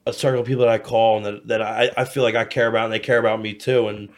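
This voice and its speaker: A man's voice: fairly low pitch, flat delivery, rapid-fire. Profane, rambling American man in his thirties. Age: 30s. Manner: profane, rambling, repeats himself, frequent "you know". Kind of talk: casual candid podcast chat.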